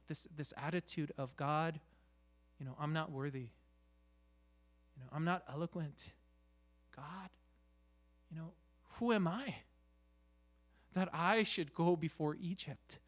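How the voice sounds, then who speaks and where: Male preacher, slightly high voice, very unhurried, conversational yet emphatic, halting delivery with rhetorical questions. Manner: halting, with repeated "you know" and rhetorical questions. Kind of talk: conversational sermon.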